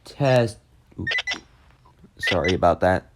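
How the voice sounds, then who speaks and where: Slow-talking, low-voiced man giving a demo. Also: wildly swooping pitch, background noise. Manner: halting, repetitive, trailing off. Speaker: video host demonstrating a walkie-talkie app. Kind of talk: casual unscripted tech demo.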